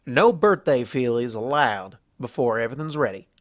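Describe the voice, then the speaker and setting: A man's voice: mid-range voice, expressive, normal speed, lightly acted. A man voicing a stubborn, blunt young female farm pony in a storybook reading, with folksy country phrasing. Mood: firm, bossy. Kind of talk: storybook fan fiction character voice.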